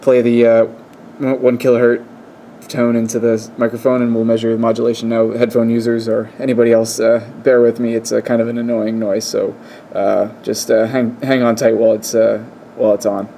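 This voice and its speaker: A man giving a casual hands-on demonstration, explaining things in a hesitant, halting way. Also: medium pitch, flat delivery, mellow. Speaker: presenter of a radio equipment test. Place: indoors at a wooden workbench. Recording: faint room noise. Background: faint background noise.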